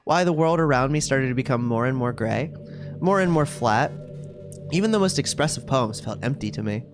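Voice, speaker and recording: A man's voice: mid-range voice, animated, normal speed, natural voice. A man voicing a sweet, possessive, self-aware schoolgirl from a video game in a chatty, cutesy, confiding way. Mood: lonely pleading. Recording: music playing behind the voice. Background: game music.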